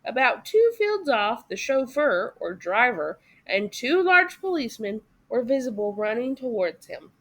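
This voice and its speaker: A woman reading a story aloud as expressive narration for children. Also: a little high, a little slow, few pauses. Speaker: story reader.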